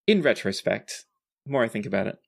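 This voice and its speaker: A game master improvising narration for a tabletop role-playing game in an animated storytelling manner. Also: mid-range voice, brisk, creaky.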